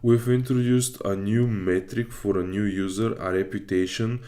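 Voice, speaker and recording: A man's voice: fairly low pitch, steady tone, normal speed, dark tone. A man from Bulgaria livestreaming his study session, casually reading aloud and hesitantly thinking through it as he goes. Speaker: live streamer learning web development.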